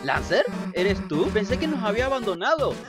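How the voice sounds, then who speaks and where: A woman's voice: mid-range voice, sing-song, a little fast, music in the background, close to an everyday voice. A woman voicing a tough, brash teenage monster girl, blunt and urgent, in a video game fan dub.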